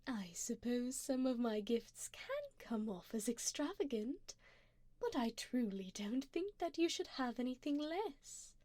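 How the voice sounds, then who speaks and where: Woman doing an ancient vampire sugar mommy, asmr roleplay, breathy whispers, kinda high voice, real slow, doting and seductive. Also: darkish tone, dry recording, full character voice. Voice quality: breathy, often dropping into whispers. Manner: slow, intimate, formal and coaxing. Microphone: close binaural microphone.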